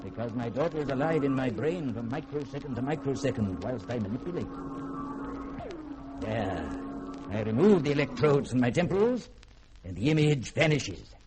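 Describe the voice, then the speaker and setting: An actor playing a proud, learned middle-aged scientist, lecturing precisely and grandly in a 1950s radio drama. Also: male voice, mid-range voice, lively intonation, normal speed, over music, natural voice, rough recording. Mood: grave, intent. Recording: old radio broadcast, faint hiss.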